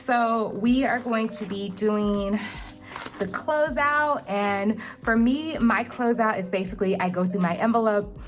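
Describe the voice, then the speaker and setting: A woman's voice: a little high, unhurried, soft background music. Chatty young woman with a cracking voice. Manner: chatty, with many ums. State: slightly cracking voice. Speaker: budgeting vlogger. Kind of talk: casual budgeting walkthrough.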